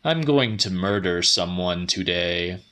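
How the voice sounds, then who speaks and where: An embittered middle-aged office genius acted by a male reader delivers a scornful horror-story monologue. The voice is tense and cutting, on the low side. It moves slowly and theatrically, full of arrogance and simmering madness. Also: animated, sharp tone, heavily acted. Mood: cold menace.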